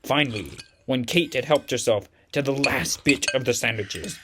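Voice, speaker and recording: A man's voice: medium pitch, normal speed, crowd noise, natural voice. A man playing the narrator of a children's mystery audio drama, telling it in scripted storybook style. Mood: measured storytelling.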